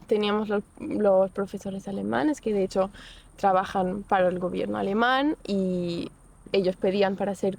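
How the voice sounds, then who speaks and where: A young woman chats in an unhurried, halting way, often stopping to restart a thought. Her voice sits at an ordinary middle pitch. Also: a little hiss or hum. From Portugal. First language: Portuguese. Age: young adult. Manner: hesitant, many restarts and fillers. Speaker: guest. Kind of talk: casual interview.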